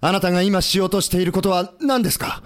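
A man's voice: sits high, fast talker, natural voice. A male actor playing an earnest, polite and idealistic rebel army leader in a historical audio drama. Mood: pleading.

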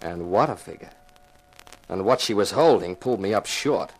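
An actor playing a hard-boiled, cool-headed investigator who also narrates a 1950s radio mystery in a wry, matter-of-fact way. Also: male voice, low-pitched, expressive, normal speed, poor audio. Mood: tense, narrating. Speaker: narrator and lead.

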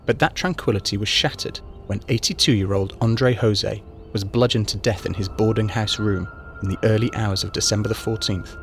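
A man reading a long-form news story aloud as scripted narration, in measured storytelling. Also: a little hiss or hum. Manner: measured storytelling, raises pitch for quoted cries.

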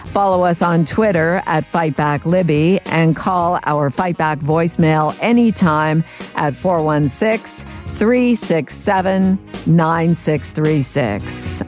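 A woman host presenting a radio talk show, introducing guests and callers in a measured, announcing manner. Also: fairly low pitch.

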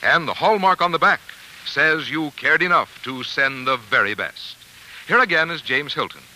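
A man's voice: on the high side, lively intonation, moderate pace, bright, forceful tone, rough recording. A man announcing commercials in polished, folksy 1940s radio style, selling directly to the listener. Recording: hissy old radio broadcast.

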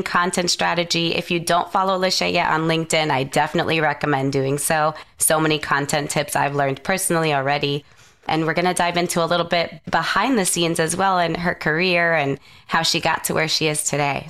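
Hoarse woman hosting an interview podcast. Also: fairly low pitch, a bit bright. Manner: upbeat, encouraging. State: raspy from a cold. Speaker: podcast host.

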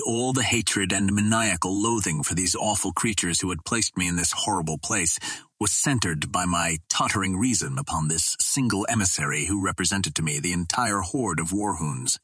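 Low-voiced man narrating an adventure novel. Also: fairly bright tone, slightly rough, no echo. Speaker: audiobook narrator. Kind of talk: scripted first-person narration of an adventure novel.

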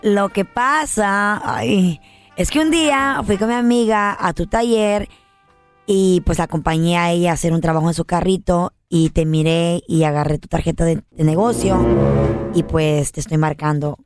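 A woman's voice: lowish voice, normal speed, soft background music. A woman co-host placing a prank phone call, talking in a playful, flirty way with bits of English mixed in. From United States, family from Honduras.

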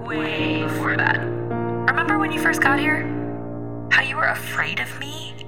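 A woman's voice: high voice, sing-song, unhurried, over loud music. A woman acting in an audio drama as a station's artificial intelligence who speaks in a pointed, reproachful way. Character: sardonic female AI of a space station.

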